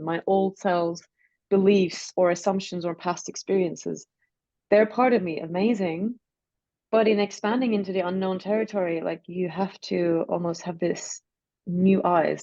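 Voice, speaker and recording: Guest coach, Turkish accent, low mellow voice, dry dull video-call sound. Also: female voice, dry recording. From Turkey. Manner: reflective, searching phrasing with fillers. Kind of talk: relaxed interview.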